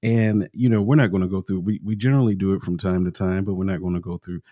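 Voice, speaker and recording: A man co-hosting a podcast, chatting casually and giving upbeat shout-outs to listeners. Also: low voice, fairly even intonation, quick pace, no echo, highs cut off. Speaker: podcast co-host.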